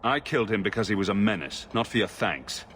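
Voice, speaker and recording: An actor playing a resolute, earnest champion in a video game, talking plainly and bluntly. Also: male voice, fairly low pitch, lively intonation, fairly quick, fairly bright tone, a little hiss or hum. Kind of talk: video game drama acting.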